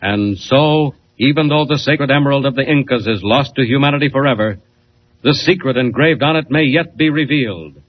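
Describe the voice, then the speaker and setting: A man's voice: medium pitch, moderate pace, close to an everyday voice. A man as a dramatic announcer narrating a 1940s radio serial in a theatrical style. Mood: suspenseful. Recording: dull old radio transcription, faint noise.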